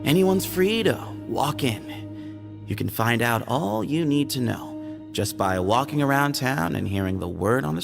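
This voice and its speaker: A playful, evasive young man from a fantasy game. The delivery is lively and glib, with some gravel in the voice and light music behind. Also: mid-range voice. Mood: nonchalant. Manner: breezy, teasing, glib. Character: sly, easygoing young male desert dweller, playful and evasive. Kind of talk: video game character acting.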